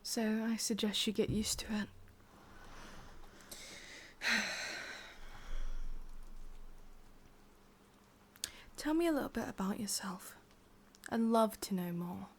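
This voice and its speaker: A woman with an English accent voicing a possessive, sadistic witch in a slow, breathy ASMR roleplay whisper. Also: medium pitch, expressive, a little hiss or hum. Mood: coaxing, controlling. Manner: slow, intimate, teasing. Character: sadistic, possessive witch, softly menacing and doting.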